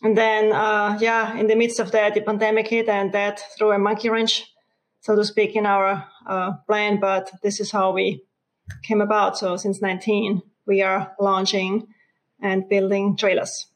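A woman with an Austrian accent, a company co-founder telling her story in a relaxed interview. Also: medium pitch, measured pace, poor audio. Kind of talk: casual interview talk.

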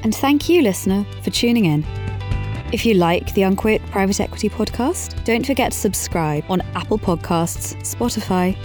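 A woman's voice: mid-range voice, animated, normal speed, darkish tone, soft background music. A woman hosting a business podcast, speaking in a polite, articulate and formal interviewing manner.

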